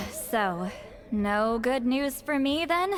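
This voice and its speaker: Game role: seasoned female spirit master, wry, colloquial, gently bossy. Music underneath. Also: a little high, lively intonation, very slow. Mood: wry, resigned. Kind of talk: video game voice acting.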